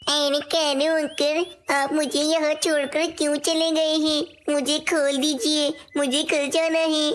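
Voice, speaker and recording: A woman's voice: high-pitched, little pitch movement, slow pace, few pauses. A woman voicing a helpless young female parrot child, pleading in a cartoon story. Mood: frightened pleading. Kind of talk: cartoon moral-story dialogue.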